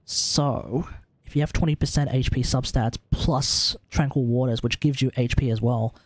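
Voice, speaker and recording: A man's voice: mid-range voice, fairly even intonation, normal speed, dry recording. A man with an Australian accent chatting casually as a game livestream host, informal and sweary as he thinks aloud. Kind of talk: casual gaming livestream chat, advising on character builds.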